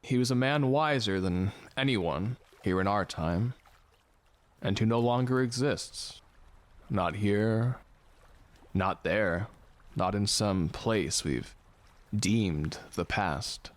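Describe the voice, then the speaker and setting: A low male voice for an audio drama. He is a fatalistic philosopher aboard a ship, speaking solemnly and deadpan, as if every thought were a grave conclusion. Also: takes it slowly. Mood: somber, musing. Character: gloomy philosophical ship's man, fatalistic and slow to romance.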